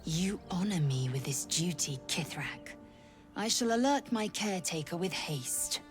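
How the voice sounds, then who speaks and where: A fierce alien warrior woman, pitched low and swinging widely in intonation. She speaks formally and haughtily, every phrase edged with scorn, in dramatic fantasy game style. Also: animated, measured pace, over music. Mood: dutiful. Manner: haughty, formal, clipped menace. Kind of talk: dramatic video game acting.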